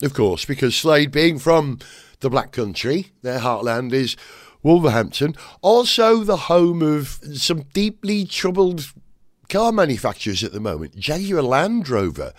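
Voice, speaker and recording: A man hosting a podcast, his voice gravelly and husky from a weekend of singing, chatting in an animated, storytelling way. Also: fairly high pitch, measured pace, dry recording, clean studio sound. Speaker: podcast host. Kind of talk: casual podcast chat.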